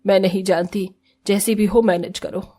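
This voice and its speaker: A woman's voice: mid-range voice, steady tone, moderate pace, warm tone, close to an everyday voice. A woman voicing a stern, scolding mother-in-law who commands her household in a cartoon family drama.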